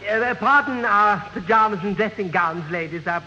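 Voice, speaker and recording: An actor playing a prim, pompous gentleman guest, fussy and formal, in a radio sitcom. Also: sits high, normal speed. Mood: flustered, proper. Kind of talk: 1970s radio sitcom acting. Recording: muffled old radio, slight hiss, echoing hall.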